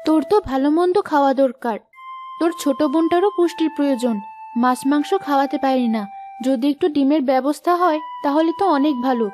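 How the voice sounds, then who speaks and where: A woman's voice: sits high, fast, darkish tone, soft background music, lightly acted. A woman playing a poor, loving village mother in a cartoon, plaintive and caring. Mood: careworn, resolute. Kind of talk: Bengali cartoon moral story dialogue.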